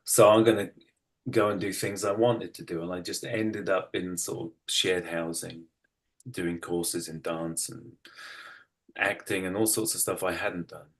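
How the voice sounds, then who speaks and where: Middle-aged man, English accent, low-pitched voice, reflective storytelling, halting and thoughtful delivery, conversational. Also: steady tone. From United Kingdom. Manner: halting, thoughtful, circles back to rephrase. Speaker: interview guest, writer.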